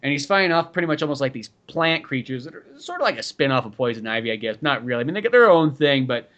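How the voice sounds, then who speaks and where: A man's voice: medium pitch, expressive, fast talker, a bit bright, muffled recording. A man co-hosting a comic book podcast, chatting casually and jokily in a rambling way. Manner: jokey, rambling, breaks into quoted voices. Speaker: podcast co-host. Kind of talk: casual chat.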